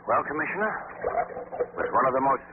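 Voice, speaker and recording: A man's voice: mid-range voice, big pitch swings, fast, narrow, tinny audio, close to an everyday voice. An actor playing a purposeful man in a noisy 1940s radio adventure drama. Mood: explanatory.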